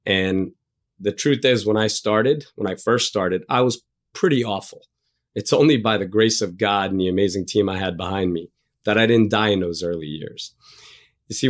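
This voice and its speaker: A man's voice: low-pitched, moderate pace. A middle-aged man from Egypt, raised in New Jersey, telling stories as an interview guest in a blunt, self-deprecating way with casual swearing. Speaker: interview guest, undercover agent. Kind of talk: candid interview storytelling.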